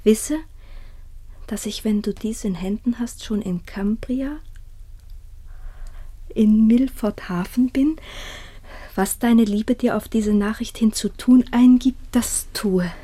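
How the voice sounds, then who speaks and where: An actress playing a tender princess reading a love letter aloud in a classical radio drama. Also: female voice, a little high, slow, pauses often, mellow. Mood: tender, earnest.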